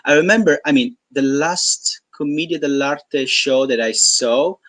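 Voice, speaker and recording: A man with a somewhat high voice speaks haltingly with fillers. The sound is dry and close, as on a video call. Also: a bit bright, no room sound. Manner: hesitant, slow with fillers. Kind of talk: casual video-call chat.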